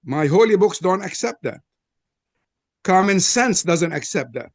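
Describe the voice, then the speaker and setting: A man's voice: high-pitched, lively intonation, measured pace, no echo. An older man from Lebanon with a Lebanese accent, Arabic his first language, giving a frank, personal opening statement at an interfaith panel. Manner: earnest, frank, personal storytelling. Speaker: panel presenter.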